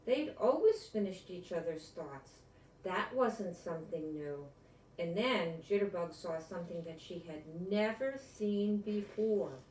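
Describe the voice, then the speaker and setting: An older woman reads a children's story aloud at a leisurely pace. Her delivery is lively and swooping, pausing to address the young listeners. The room is echoey and she sits a little away from the microphone. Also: on the low side, a little hiss or hum. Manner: expressive, asks listeners questions and adds asides. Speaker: storyteller reading a picture book aloud. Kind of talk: animated read-aloud to children. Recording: echoey room, distant mic.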